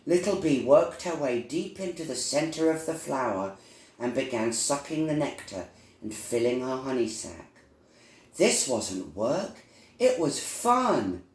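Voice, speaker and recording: Slow-paced man reading a children's story. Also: mid-range voice, a little mellow. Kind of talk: scripted children's story narration. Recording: echoey room sound.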